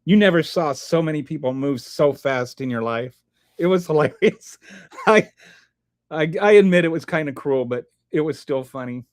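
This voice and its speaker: Middle-aged man hosting a livestream, slightly high voice, rambling and restarting, dry room. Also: animated, moderate pace, no echo. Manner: rambling, frequent restarts and fillers. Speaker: livestream host. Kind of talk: casual livestream chat reading viewer comments.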